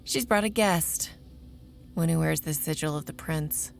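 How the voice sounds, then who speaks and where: A woman's voice: low voice, measured pace, creaky, soft background music. A woman playing a sardonic vampire researcher in an audio drama, narrating in a wry, profane, conversational way. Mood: weary. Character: Aurora, sardonic young female vampire researcher narrating her exploits. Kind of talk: first-person audio drama narration and dialogue.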